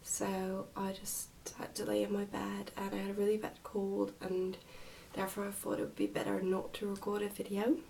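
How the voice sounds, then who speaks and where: A soft-spoken woman, often close to a whisper, reading a book aloud in an ASMR style. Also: mid-range voice, creaky, recorded from a distance, a little hiss or hum, poor audio. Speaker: ASMR reader and host.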